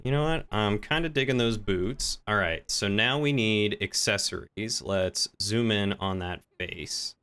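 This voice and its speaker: Man, bright clear voice, mid pitch, unhurried, chatty livestreamer, playful, thinking out loud. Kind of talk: casual gaming livestream chat.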